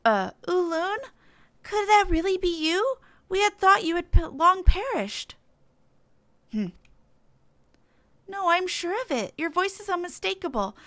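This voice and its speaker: Woman voicing an earnest high-pitched huntress. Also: pushed and bright, no room sound, full character voice. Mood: surprised, wistful. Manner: earnest, formal.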